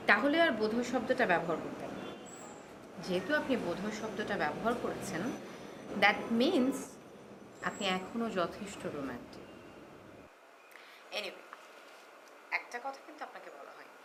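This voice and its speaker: An actress as a teasing, romantic late-night caller. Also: female voice, mid-range voice, big pitch swings, moderate pace, pauses often, background noise, lightly acted. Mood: playful, flirting. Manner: teasing, talkative, musing. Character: flirtatious, bold, playful romantic woman calling late at night. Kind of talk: Bangla TV drama dialogue.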